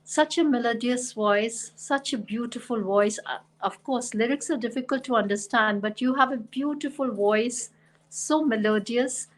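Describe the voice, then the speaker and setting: A woman's voice: on the high side, moderate pace, low-quality recording. A woman hosting an online poetry reading, introducing poets with effusive praise. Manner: warm, effusive praise of guests. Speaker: host.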